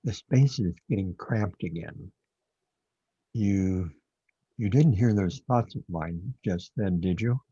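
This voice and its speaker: A man playing a confused, paranoid, brooding man who lives inside a computer, with a husky voice, in an audio drama. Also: deep voice, slow, mellow, no room sound, full character voice. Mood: paranoid, guilt-ridden. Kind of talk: audio drama monologue and dialogue. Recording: dull, lo-fi, dry close recording.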